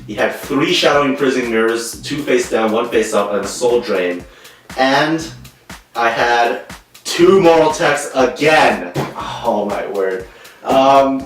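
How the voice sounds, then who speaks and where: Low-voiced Canadian man, echoey and distant. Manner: earnest, plain recounting with fillers. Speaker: co-host and guest player. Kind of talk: casual interview answers. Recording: echoey room from far off.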